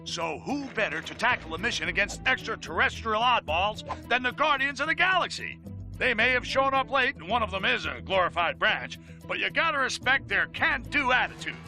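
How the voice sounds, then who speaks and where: A man playing a blustery, excitable newsman in a cartoon video game, bombastic and sarcastic in his commentary. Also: high-pitched, brisk, faint music behind, full character voice, low-quality recording. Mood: sardonic, excited. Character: blustery, excitable male newsman ranting on a live stream. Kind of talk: theatrical news commentary, cartoon game acting.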